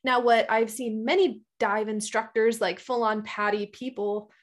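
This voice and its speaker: A woman co-host with a slightly high voice, chatting on a podcast. She tells stories in a lively, animated way, acting out what others said. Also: a little slow. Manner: lively, animated storyteller with laughs. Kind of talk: casual podcast chat, storytelling.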